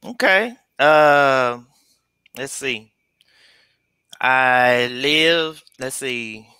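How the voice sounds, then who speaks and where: A man's voice: mid-range voice, animated, extremely slow, bright, forceful tone, smooth, no echo, studio quality. A man in his forties from San Diego, a college teacher, answering interview questions over a video call with a headset, hesitant with many fillers and small laughs. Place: home room indoors. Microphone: headset mic on video call.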